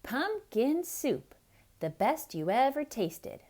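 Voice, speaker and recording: Female children's storyteller, theatrical and dramatic, unhurried, with wide swings in pitch. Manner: expressive, dramatic, explaining things to children. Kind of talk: theatrical picture-book read-aloud.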